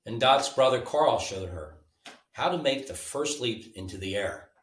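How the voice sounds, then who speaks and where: A man reading a children's bedtime story aloud in an animated, informal way, like a storyteller with a picture book. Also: medium pitch, unhurried. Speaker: storybook reader. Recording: roomy, mic at a distance.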